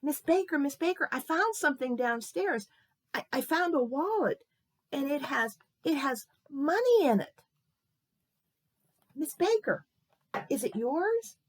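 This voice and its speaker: Lady doing an eager honest little boy, high pitched, stammery repeats, kids story. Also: unhurried. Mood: excited, eager. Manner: breathless repeats and stammered starts. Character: honest, earnest young boy, excitable and polite. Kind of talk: children's Bible-lesson storytelling.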